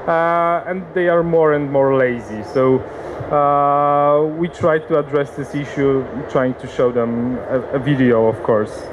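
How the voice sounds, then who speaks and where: Slow, hesitant Polish-accented man. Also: mid-range voice, muffled recording, background noise. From Poland. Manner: hesitant, many uhs and restarts. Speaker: conference speaker. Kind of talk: seminar talk. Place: conference hall.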